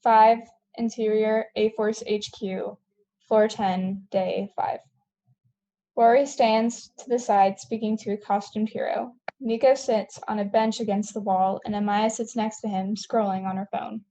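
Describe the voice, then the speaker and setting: A woman's voice: mid-range voice, steady tone, measured pace, warm tone, rough recording. A woman narrating scripted stage directions for a table read.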